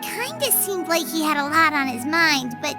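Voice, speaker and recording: A woman voicing a small, chirpy, childlike female fairy companion in a video game, squeaky and perky. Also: big pitch swings, moderate pace. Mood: informative. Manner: refers to self in third person, perky. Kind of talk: video game character acting. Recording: music playing behind the voice.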